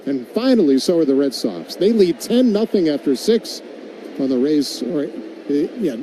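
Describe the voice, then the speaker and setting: A man calling baseball play-by-play on the radio and chatting in a wry, conversational way between pitches. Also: sing-song, normal speed, mellow, a little hiss or hum, poor audio. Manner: wry, tongue-in-cheek. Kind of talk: live play-by-play mixed with conversational commentary.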